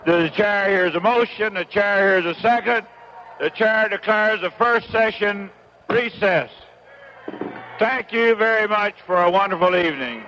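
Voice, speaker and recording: A man's voice: high voice, a little slow, sharp tone, dull audio, slight background noise. A man chairing a convention, making formal, ceremonial procedural announcements.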